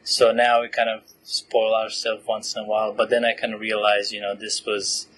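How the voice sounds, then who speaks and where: Relaxed young man from Romania, low voice. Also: normal speed, a little hiss or hum, rough recording. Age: 20s. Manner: relaxed, chatty, laughs often, many fillers. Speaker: podcast guest, PhD student. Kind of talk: casual interview answers.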